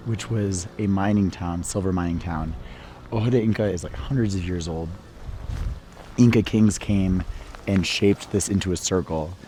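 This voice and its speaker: Low, dark-voiced man with a cold. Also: a little hiss or hum. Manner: relaxed, chatty, meditative. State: losing his voice from a cold. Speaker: art vlogger. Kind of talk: casual travel vlog narration. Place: outdoors by a hot spring. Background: faint local music.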